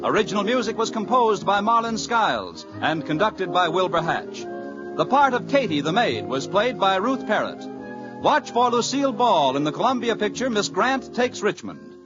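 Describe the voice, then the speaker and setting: High-pitched male 1940s radio announcer. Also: big pitch swings, moderate pace. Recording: muffled old radio broadcast, music behind.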